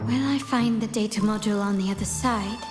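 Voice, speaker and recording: A woman's voice: mid-range voice, fairly quick, natural voice. An actress playing a focused, inquisitive young woman investigator in a video game, pensively questioning herself aloud. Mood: puzzled, wary. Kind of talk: scripted video game monologue, thinking aloud. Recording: roomy echo under clear music.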